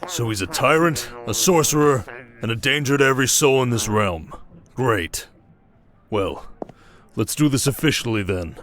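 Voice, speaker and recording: A man's voice: mid-range voice, lively intonation, moderate pace, a bit bright, lightly acted. A man playing a confident, protective desert king with a commanding, dryly teasing manner in a fantasy audio roleplay. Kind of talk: dramatic ASMR roleplay acting.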